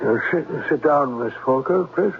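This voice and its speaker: A narrow vintage radio recording carries an actor playing the master of a gothic manor. His fairly high voice is courteous and formal, with an authoritative yet slightly halting delivery. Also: animated, slight background noise, close to an everyday voice. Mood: formal, stiff. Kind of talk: 1970s radio drama acting. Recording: thin phone-like old radio broadcast.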